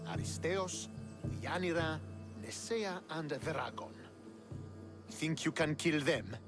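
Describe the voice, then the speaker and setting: High-pitched, scornful Spartan commander. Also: male voice, takes it slowly, over loud music. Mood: bitter, scornful. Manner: scornful, biting. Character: bitter, proud, hostile Spartan commander, a man. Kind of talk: dramatic video game dialogue.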